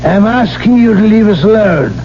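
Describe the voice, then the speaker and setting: A stubborn English gentleman in an old radio play. He speaks gravely and formally as a father bound by honor, on a muffled recording with faint hiss. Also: high voice, expressive, normal speed. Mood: ashamed, resolute. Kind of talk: 1970s radio drama acting.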